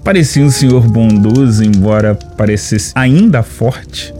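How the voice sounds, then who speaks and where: A man's voice: fairly low pitch, unhurried, mellow, natural voice. Man acting a hopeful young-hearted adventurer, music behind. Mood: grateful, musing. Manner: musing aloud, murmuring to himself. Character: naive, hopeful male adventurer in shining armor. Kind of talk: audio drama acting.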